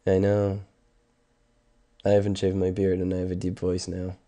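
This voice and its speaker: Sick Canadian man, low gravelly voice. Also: completely flat delivery, moderate pace, dark tone. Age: 30s. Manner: rambling and hesitant, many ums and restarts. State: sick with a stuffed nose and sore throat. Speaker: music streamer. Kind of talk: casual livestream chat.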